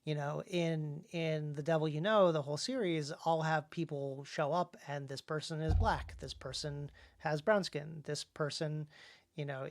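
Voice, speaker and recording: An American man hosts a conversational podcast discussion as an author. He thinks aloud, halting and then leaning hard on key words. His delivery is informal throughout. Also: fairly high pitch.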